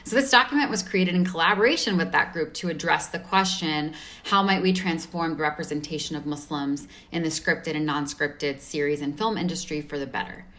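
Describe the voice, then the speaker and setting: A woman with a low voice answers questions as a guest. She is articulate and upbeat, laying out her points with open enthusiasm. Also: brisk, fairly bright tone. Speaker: guest representing an advocacy organization.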